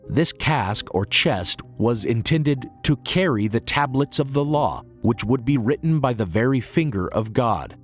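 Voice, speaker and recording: A hoarse man narrating a history podcast in a measured, earnest way. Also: medium pitch. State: hoarse, recovering from illness. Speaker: podcast host.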